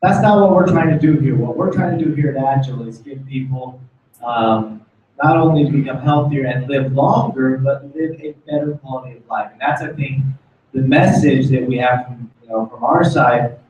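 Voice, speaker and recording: A man's voice: mid-range voice, normal speed, a little mellow, a little hiss or hum. American guy doing a motivational company talk, super echoey, mic kinda far away and muffled. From United States. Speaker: corporate executive, guest speaker. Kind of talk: informal motivational product talk. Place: meeting room, speaking before small audience.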